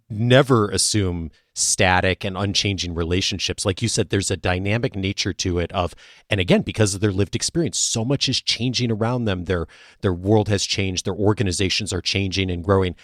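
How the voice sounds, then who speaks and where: Male podcast host, very low voice, reflective and summarizing, dry close mic. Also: fairly quick, a bit bright, no room sound. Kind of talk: interview conversation.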